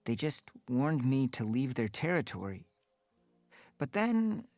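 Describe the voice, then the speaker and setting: Rusty, a restless young tomcat in a storybook, earnest and determined, with a lively rising and falling voice and a dry close sound. A young house cat itching for the wild, as a male narrator plays him. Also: mid-range voice, slow pace, no echo, soft background music, voice pushed up.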